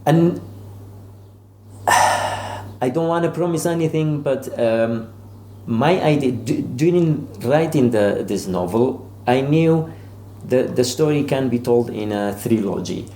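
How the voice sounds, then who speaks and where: A man's voice: mid-range voice, lively intonation, takes it slowly, darkish tone. A man speaking as an interviewed novelist in an informal discussion, searching for words and often repeating them. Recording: roomy echo with faint background noise.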